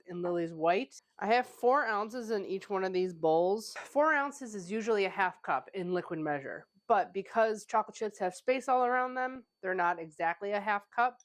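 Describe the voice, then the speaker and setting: Brief: woman home baker hosting her channel, mid-pitched, slightly congested from a cold, chatty and casual. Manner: chatty, conversational, with frequent "like" fillers. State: stuffy, getting over a cold. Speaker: pastry chef and cooking channel host. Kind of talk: casual cooking tutorial. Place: home kitchen.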